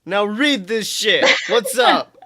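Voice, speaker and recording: Bright, high-pitched man bantering profanely. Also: slow. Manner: profane, animated, exclamatory. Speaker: podcast co-host. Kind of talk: casual comedic chat.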